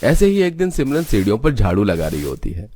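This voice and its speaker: A man's voice: on the low side, very animated, fairly quick, warm tone, breathy edge. A man reading scripted narration for a cartoon story as its storyteller. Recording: music behind the voice.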